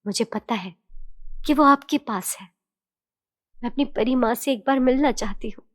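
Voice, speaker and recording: A woman voicing a gentle, sorrowful young female fairy who pleads earnestly in an animated fairy tale. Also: on the high side, moderate pace, close to an everyday voice. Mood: pleading, earnest.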